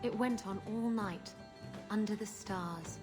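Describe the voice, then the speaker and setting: A woman's voice: medium pitch, takes it slowly, lightly acted. An actress playing a flirtatious, boastful female pirate captain in a video game. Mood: boastful, sultry. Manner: flirtatious, playful, teasing. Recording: background music.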